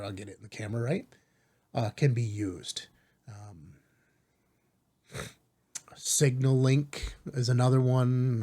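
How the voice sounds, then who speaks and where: A man hosting a step-by-step software tutorial in an informal, reassuring way, often checking in with rhetorical asides. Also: medium pitch. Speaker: tutorial host.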